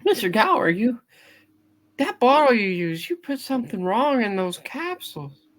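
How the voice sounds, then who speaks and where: Lady doing a scared earnest little errand boy, stammery, high voice that jumps around, radio play style. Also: big pitch swings, normal speed. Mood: nervous, dutiful. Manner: stammering, pleading. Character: earnest, frightened young errand boy, pleading and dutiful. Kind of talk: hammed-up amateur radio play reading.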